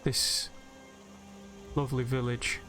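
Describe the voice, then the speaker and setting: A man gives soothing, unhurried, gentle guided sleep meditation commentary over a game. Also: medium pitch, over music. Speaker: host and narrator of a game meditation video. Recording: steady background noise, game nature ambience. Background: game ambience of river and birdsong.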